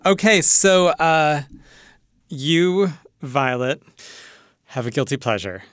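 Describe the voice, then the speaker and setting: A man's voice: on the high side, lively intonation, takes it slowly, a bit bright, no echo, clean studio sound. A man hosting a public radio show, speaking conversationally as an interviewer.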